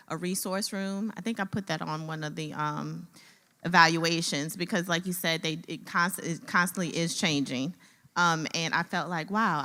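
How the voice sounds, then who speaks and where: A woman from a nonprofit giving a conversational comment at a conference, with a loud voice and frequent filler words. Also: low-pitched. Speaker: nonprofit staffer. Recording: reverberant conference hall.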